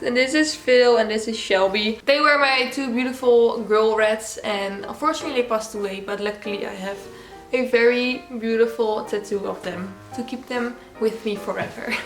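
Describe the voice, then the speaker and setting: Giggly young Dutch woman, echoey room. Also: a little high. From Netherlands. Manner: chatty, giggly, many "like" fillers. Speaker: YouTuber answering viewer questions. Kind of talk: casual Q&A vlog.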